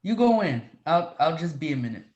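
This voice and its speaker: A man plays a proud, stern professor in a dramatic reading. His voice is notably high and very animated, with formal, reproving diction. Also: fairly quick, lo-fi sound. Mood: terse. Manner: formal, reprimanding. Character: stern, proud male professor, demanding authority figure.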